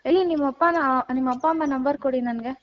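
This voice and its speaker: A woman's voice: high voice, fast talker. A woman who takes a job call, questioning the caller insistently over the phone. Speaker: job recruiter taking a call. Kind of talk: phone conversation. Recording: dull phone line, faint noise.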